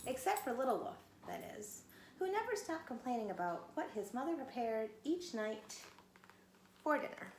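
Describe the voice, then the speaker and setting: Brief: woman teacher, gentle read-aloud at home, slight background noise. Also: on the high side, very animated, moderate pace, echoey room. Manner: gentle, asks children questions. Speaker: teacher reading aloud to children. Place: home, pets nearby. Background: dogs playing.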